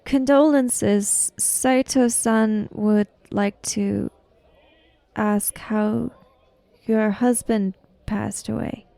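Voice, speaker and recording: Courteous samurai go-between for a game, female performer: careful, measured, deferential phrasing, very dry sound. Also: medium pitch, steady tone, vocal fry, dark tone, dry recording. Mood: polite, careful. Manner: formal, careful, halting. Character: polite, deferential samurai interpreter speaking for a master.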